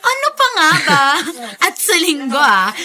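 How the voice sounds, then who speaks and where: A Filipino actress playing a two-faced, spiteful young schemer in a radio drama. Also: female voice, high voice, huge pitch swings, a little slow, crowd noise, heavily acted. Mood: gloating.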